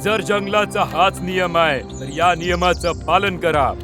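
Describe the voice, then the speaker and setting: A man voicing a grave forest sorcerer in an animated fable, with a solemn, authoritative manner. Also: sits high, measured pace, music in the background. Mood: stern. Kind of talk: dubbed animated moral fable.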